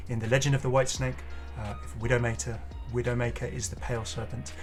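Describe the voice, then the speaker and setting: An enthusiastic man with a middle-pitched voice hosts a gaming video, reacting off the cuff in a casual, chatty style. He tends to exclaim as he comments on what he is looking at. Music plays faintly behind him. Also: level tone, quick pace. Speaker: gaming channel host and commentator. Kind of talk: casual unscripted reaction commentary on game cosmetics.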